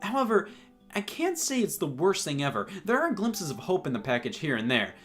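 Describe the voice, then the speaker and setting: A man's voice: on the high side, wildly swooping pitch, fairly quick, fairly bright tone, faint music behind. A man hosting a video game review show, giving scripted comedic commentary in a mock-earnest way.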